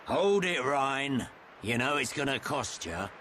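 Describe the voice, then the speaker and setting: An actor playing a gruff, teasing older man who trades gear, in a video game cutscene. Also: medium pitch, big pitch swings, a little slow, sharp tone, a little hiss or hum.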